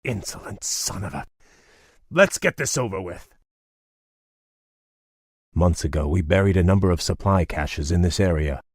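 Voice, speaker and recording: A man playing a proud, resentful elf prince in a fantasy game, formal and restrained, with a husky voice. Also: low voice, animated, normal speed, long pauses, no echo, clean studio sound. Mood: determined. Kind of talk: dramatic fantasy game acting.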